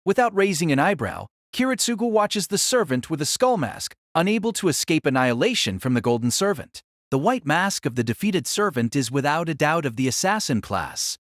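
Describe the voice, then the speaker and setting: Narrator for an audio drama: man, slightly high voice, very varied melody, echo-free sound. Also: sing-song, fairly quick, few pauses, clean studio sound.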